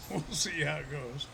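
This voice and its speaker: A man with a froggy, slightly hoarse throat, chatting casually with his viewers on a live stream while playing a farming game, with an easygoing, chuckling manner. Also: a little high, background noise. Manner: easygoing, chuckling, talks to chat by name.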